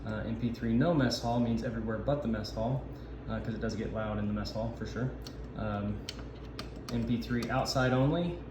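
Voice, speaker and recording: Man, even monotone delivery, halting informal demo, roomy sound, improvised explaining. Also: on the low side. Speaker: sound system installer giving a walkthrough. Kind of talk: informal instructional demo narration. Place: indoor camp office. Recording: echoey room, noisy ambient background.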